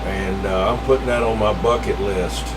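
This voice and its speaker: A man's voice: on the low side, normal speed. A man hosting a radio check-in, chatting casually in a folksy, rambling way. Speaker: CB radio net host. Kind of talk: casual chat. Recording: noisy CB radio check-in, steady hum.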